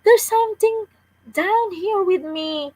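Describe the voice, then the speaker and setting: A woman's voice: very high-pitched, takes it slowly, warm tone, voice pushed up, poor audio. A woman voicing a scared little girl in a children's storybook, pleading for help. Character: frightened little girl lost in a dark cave.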